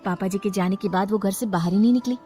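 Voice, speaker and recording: A woman's voice: medium pitch, quick pace, warm tone, natural voice. A woman playing a cheerful young wife devoted to her mother-in-law, in a story drama. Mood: explaining. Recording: music under the voice.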